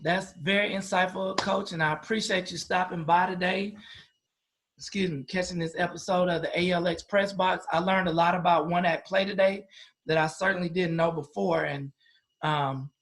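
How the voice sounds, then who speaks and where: A man's voice: high-pitched, steady tone, moderate pace, fairly bright tone. An American man hosting a podcast interview, chatting casually in a jokey, affirming way. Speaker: podcast host.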